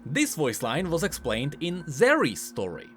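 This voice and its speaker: Male game-lore commentator, higher-pitched and very lively intonation, scripted explainer delivery over music. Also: unhurried.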